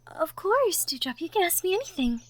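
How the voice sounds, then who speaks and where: A woman voicing a sweet, shy young cottage girl in love, gentle and stammering, in a girlfriend roleplay audio drama. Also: very high-pitched, big pitch swings, fairly quick. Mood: gentle, concerned. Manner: stammering, gentle, breathy asides.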